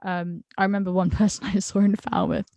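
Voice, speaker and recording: A woman from the UK, a guest friend on a video call, talking frankly and in an animated way, often stumbling over her words. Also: mid-range voice, fairly quick, no room sound, dull audio. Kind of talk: casual video-call chat.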